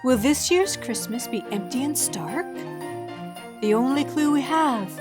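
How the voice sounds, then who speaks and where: Xmas storybook narrator, slow rhymes, high lilting voice, jingly music behind. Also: female voice, lively intonation. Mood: dramatic. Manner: measured, dramatic storytelling. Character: storybook narrator reciting a rhyming Christmas recap. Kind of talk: scripted rhyming narration. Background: loud jingling Christmas music.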